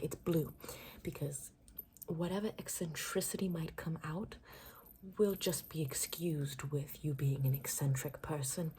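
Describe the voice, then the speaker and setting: A woman doing an improvised ASMR makeover roleplay, mixing soft breathy whispers with murmured speech in a playful, rambling, soothing way. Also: low-pitched, slight background noise.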